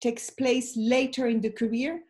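A woman's voice: on the high side, steady tone, unhurried, a little mellow. A woman in her late forties with a French accent, a professor giving conversational interview answers on a video call from home. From France. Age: late 40s. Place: indoor room at home. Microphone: video call, computer microphone.

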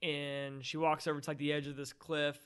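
A man's voice: a little high, level tone, a little fast, fairly bright tone, clear, resonant tone. A man co-hosting a casual film podcast, recapping the plot in a loose, rambling way. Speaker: podcast co-host.

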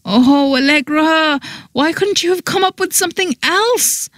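A woman's voice: fairly high pitch, measured pace, darkish tone, no echo, clean studio sound, voice pushed up, close to an everyday voice. A woman acting a wry, easily exasperated heroine. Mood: mortified.

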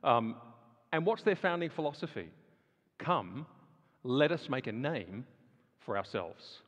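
Animated man preaching in a reverberant hall. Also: medium pitch, measured pace. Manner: animated, rising emphasis, conversational asides with fillers. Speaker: guest speaker. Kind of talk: sermon-style talk to a live audience. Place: large hall with audience. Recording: dull sound with long hall reverb.

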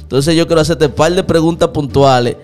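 A man's voice: fairly high pitch, brisk, a little mellow. Young guy hosting a podcast, jokey hype-man vibe, studio mic, bit of music behind. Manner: playful, hypey, teasing. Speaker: podcast host. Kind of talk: casual interview. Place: small studio with purple lights. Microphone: studio mic on stand, headphones.

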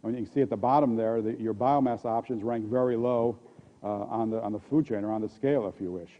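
An older man with an American accent gives a conference keynote at a podium microphone, speaking haltingly and often repeating words and restarting. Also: mid-range voice. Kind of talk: conference presentation. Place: conference hall stage at a podium. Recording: dull, muffled lo-fi audio.

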